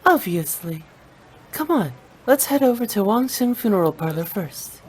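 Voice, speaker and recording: A woman's voice: medium pitch, expressive, normal speed, darkish tone, a little hiss or hum, close to an everyday voice. A woman voicing a mischievous young woman funeral director in a video game, playful and teasing. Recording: game audio with faint music.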